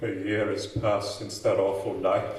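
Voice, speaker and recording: A man's voice: fairly low pitch, little pitch movement, measured pace, darkish tone, natural voice. Man acting a tormented young scientist, echoey hall. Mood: subdued, anxious. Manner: theatrical, impassioned, declamatory. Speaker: actor in a live radio drama. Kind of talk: old-time radio horror melodrama acting. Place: live stage in a community hall. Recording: echoey hall, distant mic, faint noise.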